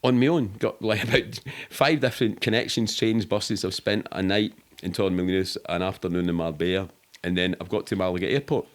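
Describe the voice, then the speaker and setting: A man's voice: sits low, fairly even intonation, a little fast. A middle-aged man with a Glasgow-area Scottish accent telling anecdotes casually as a podcast guest, sweary and colloquial, acting out what people said. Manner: sweary, colloquial, acts out quoted speech. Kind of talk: animated anecdotal storytelling, casual.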